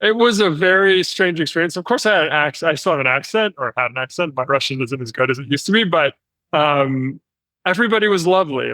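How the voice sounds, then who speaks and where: An American man, a company founder, telling travel stories as an interview guest with lively delivery and frequent fillers. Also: fairly high pitch, dry recording. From United States. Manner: animated storytelling with frequent fillers. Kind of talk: conversational interview.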